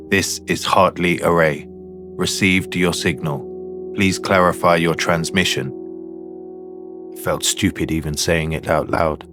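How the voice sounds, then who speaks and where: A man plays a haunted signal technician with an extremely low voice, narrating a first-person horror story. The delivery is deliberate and comes in short, broken phrases. Music sits clearly behind the voice.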